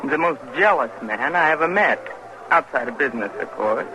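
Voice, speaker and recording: A hard-bitten saloon woman of the Old West, sly and coaxing. Her pitch moves about in a lively way. It comes from an old radio western with clear recording noise. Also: moderate pace. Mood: coaxing, accusing.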